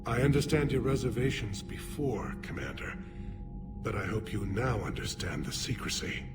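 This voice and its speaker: A man playing a dignified, grieving alien leader in a video game, speaking formally and gravely. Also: lowish voice, animated, normal speed, music in the background. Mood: solemn, grieving. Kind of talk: video game cutscene acting.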